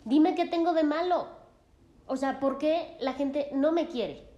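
A woman's voice: sits high, unhurried, warm tone, lo-fi sound, pitched above the performer's own voice. Woman doing a dramatic young guy, wounded and full of himself, voice pushed up a bit, roomy echo. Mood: pleading. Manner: dramatic, self-pitying, boastful. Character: troubled adopted young man, theatrical and self-absorbed.

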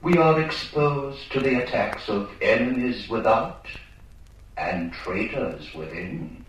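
An actor playing a grandiose, paternal dictator in a radio drama, giving a solemn rhetorical address. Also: male voice, medium pitch, lively intonation, takes it slowly. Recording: echoing distant loudspeaker, faint noise.

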